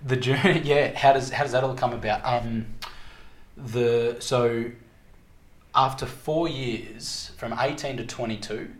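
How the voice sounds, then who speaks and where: A man in his twenties with an Australian accent, telling his story casually as an interview guest, reflective and halting as he searches for words. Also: mid-range voice, steady tone. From Victoria, Australia. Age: 20s. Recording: echoey room, background noise.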